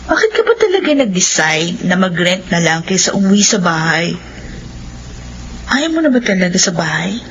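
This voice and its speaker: A woman's voice: fairly low pitch, normal speed, highs cut off, a little hiss or hum. An actress playing a caring, teasing, stubborn young woman, a daughter and older sister, in a radio drama. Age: young adult. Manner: playful, expressive, affectionate.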